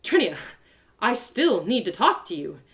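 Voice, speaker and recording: A woman's voice: medium pitch, sing-song, a little slow, fairly bright tone. A woman voicing an earnest young heroine in a story, beseeching and full of emotion. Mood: insistent. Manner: urgent, beseeching.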